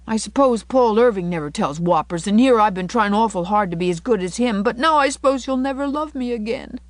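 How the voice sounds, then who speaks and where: A woman's voice: mid-range voice, no room sound, voice pushed up. A woman voicing a mischievous but earnest little boy from a storybook, talking in frank, childish colloquial speech. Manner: childish colloquial talk, dropped syllables.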